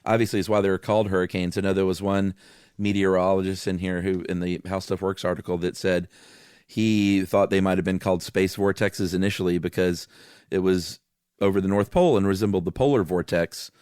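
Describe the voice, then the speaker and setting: A man's voice: deep voice, steady tone, a little fast, a little mellow, clear, resonant tone, no echo, studio quality. A man co-hosting a podcast, chatting in a laid-back, informal way. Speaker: podcast co-host. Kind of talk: casual chat.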